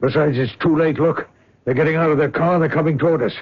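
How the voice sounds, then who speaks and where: A man's voice: on the high side, fairly even intonation, quick pace, slightly rough. An actor playing a frightened man handing over diamonds in a 1970s radio drama, speaking anxiously and pleadingly. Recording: dull old radio recording.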